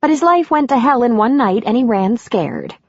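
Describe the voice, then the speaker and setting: An eager, warm middle-aged woman, as an audiobook narrator puts her on, her voice lifting higher than the narration and swinging widely. The tone is a little husky. The recording is dry and dull. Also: expressive, moderate pace, no room sound.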